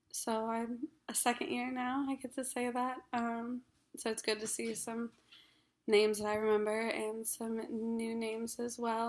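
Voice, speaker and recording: Hoarse young woman, flat halting delivery. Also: on the high side. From Ohio, USA. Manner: halting, many ums, frequent throat clearing. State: hoarse, losing voice from a cold. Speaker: graduate counseling student. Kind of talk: class self-introduction video.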